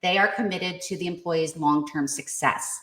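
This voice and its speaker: A woman's voice: on the low side, normal speed, a bit bright. A woman from New York with a New York accent, speaking as an expert guest in a conversational interview, casual and emphatic. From New York state. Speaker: podcast guest, career coach and HR expert. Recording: echoey room sound.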